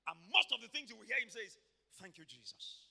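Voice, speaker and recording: A man preaching an energetic sermon in a church, swinging from quiet asides to shouted exclamations and acting out voices. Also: high voice, fast talker, vocal fry, slightly rough. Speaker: pastor. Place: church hall.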